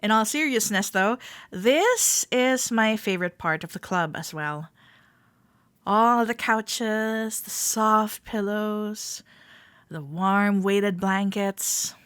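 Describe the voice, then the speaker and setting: A woman playing a friendly, teasing club member giving a tour in a roleplay audio, speaking to the listener in a gentle, reassuring way. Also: medium pitch, slow pace, smooth, dry recording. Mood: teasing, cozy.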